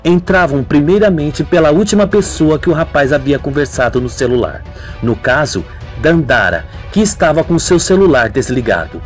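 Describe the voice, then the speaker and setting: A man's voice: a little high, animated, normal speed. A man narrating a scripted radio soap opera as a dramatic storyteller. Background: music.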